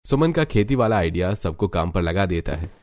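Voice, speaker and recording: A man's voice: deep voice, very animated, brisk, a little mellow, no echo. A man narrating a scripted cartoon story as its storyteller.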